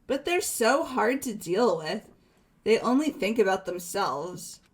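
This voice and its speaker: A woman's voice: mid-range voice, lively intonation, unhurried, husky. A young woman in a group game playthrough, voicing dialogue and joking casually.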